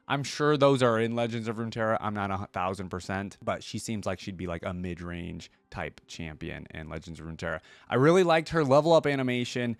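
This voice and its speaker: A man giving a casual live reaction commentary, thinking aloud in an informal way as the host. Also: low voice. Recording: music behind the voice. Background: game trailer audio and music.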